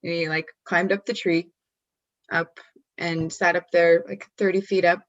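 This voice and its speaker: A woman's voice: on the low side, little pitch movement, unhurried, muffled recording. Hesitant woman telling a story. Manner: hesitant, many ums and restarts. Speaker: guest wellness coach. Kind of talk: casual storytelling in conversation.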